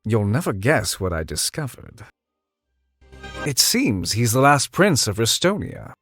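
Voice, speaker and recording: Game villain's accomplice: shrewd, sardonic, mid-pitched, very animated intonation, music behind. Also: male voice. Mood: sly, calculating. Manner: sardonic, articulate, measured. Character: dry, shrewd male adviser and scheming accomplice. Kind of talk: video game villain acting. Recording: background music under the voice.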